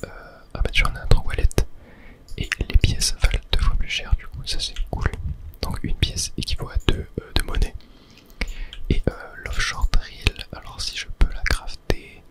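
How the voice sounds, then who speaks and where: A person whispering ASMR game commentary, thinking aloud to viewers with hesitant pauses. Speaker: gaming video host.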